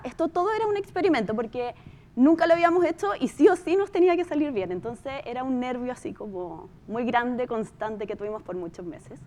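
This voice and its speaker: A woman with a Chilean accent gives an informal talk as a producer. Her voice sits a bit high, and she tells her story conversationally, repeating words for emphasis. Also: darkish tone. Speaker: podcast producer giving a talk.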